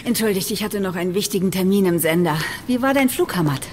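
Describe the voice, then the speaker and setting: An actress playing a rich, effusive media mogul in a radio drama, socially polished. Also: female voice, mid-range voice, animated, fast talker, a little mellow, a little hiss or hum, natural voice. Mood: effusive, hostly. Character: gushing, rich woman media mogul hosting guests.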